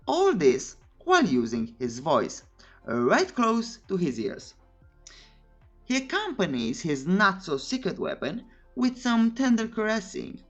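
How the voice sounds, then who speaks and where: A woman narrating a scripted story recap in a cheeky, tongue-in-cheek way with playful asides. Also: medium pitch.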